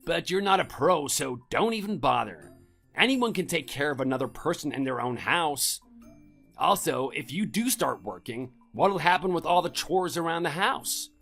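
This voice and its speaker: Guy acting as a mean bossy middle aged husband in an audio drama, sneering and scolding, very up and down, soft music under. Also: fairly high pitch, lively intonation, normal speed, vocal fry, heavily acted. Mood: belittling. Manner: contemptuous, scolding, sarcastic. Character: domineering, scornful middle-aged husband belittling his wife. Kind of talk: scripted audio drama dialogue.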